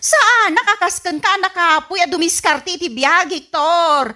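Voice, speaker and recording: A woman's voice: extremely high pitch, measured pace, pushed and bright, full character voice. A woman playing a scheming, boastful, whiny wife in an Ilocano radio comedy drama, with an Ilocano accent and a theatrical delivery. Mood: boastful, sassy. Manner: theatrical, whiny, stammers evasively when cornered. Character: scheming, boastful wife who spends borrowed money on appliances.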